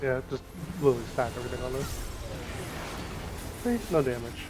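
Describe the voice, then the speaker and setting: A man's voice: mid-range voice, brisk. A man on a gaming team, giving casual callouts and commentary during play. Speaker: gaming teammate.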